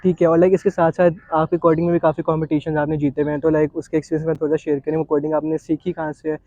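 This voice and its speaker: A Pakistani man hosts a casual interview, moving briskly through his questions with English mixed in. His delivery is mellow and quick, with little rise and fall. The sound is dry and slightly dull. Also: on the high side, level tone, no echo. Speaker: interview host.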